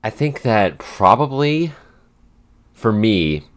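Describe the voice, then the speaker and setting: A man's voice: fairly low pitch, very animated. A man from the Pacific Northwest, co-hosting a podcast as its writer, in casual chat full of "like" fillers and short laughs. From Pacific Northwest, USA. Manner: halting, many "like" fillers, laughs between phrases.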